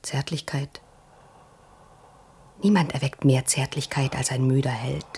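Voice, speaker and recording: An actress playing a self-assured young princess in a radio play, cool, teasing and frank about love. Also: female voice, steady tone, measured pace, mellow, slight background noise. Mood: lovestruck, insistent. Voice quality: low, husky. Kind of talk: German radio play acting.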